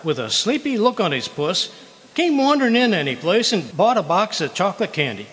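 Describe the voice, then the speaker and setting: A man's voice: high voice, very animated, brisk, fairly bright tone. A man playing a blustering, fibbing, folksy husband in old-time radio comedy, stammering when cornered. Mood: puzzled, conspiratorial. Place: live stage show in a community hall. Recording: reverberant hall stage performance.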